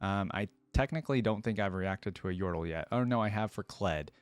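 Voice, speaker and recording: A man's voice: fairly low pitch, fairly quick, smooth, no echo. A man giving casual, musing commentary as a reaction video host. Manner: informal, musing, conversational.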